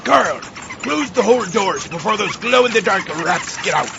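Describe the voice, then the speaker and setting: Blustering ship captain, gravelly, swooping theatrical delivery, comic radio play, muffled sound, music behind. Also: male voice, high-pitched, expressive, normal speed, noisy background, heavily acted. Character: blustering, exasperated old-time pirate ship captain. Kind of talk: comic audio drama acting.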